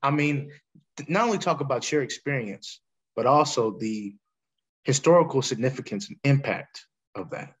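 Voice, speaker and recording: A middle-aged American man with a husky voice hosts a sports interview. He speaks at an ordinary male pitch and keeps a polite, respectful manner with his guest. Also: animated, normal speed. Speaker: interviewer, host. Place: indoors on a video call.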